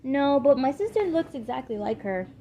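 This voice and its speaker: A woman plays a polite teenage girl from a storybook, eager to please and a bit unsure. She speaks gently in a fairly high voice, over clear noise in a home recording. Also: very animated, moderate pace. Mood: uneasy. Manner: bright, gentle, hesitant. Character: polite, eager, uneasy teenage girl welcoming her stepsister.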